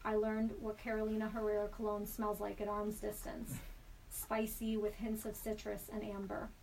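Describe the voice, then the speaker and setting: A woman in a studio reading a personal story aloud in a measured, deliberate way. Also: mid-range voice, monotone, vocal fry, a little mellow. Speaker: storyteller guest. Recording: slightly distant mic with faint background hiss.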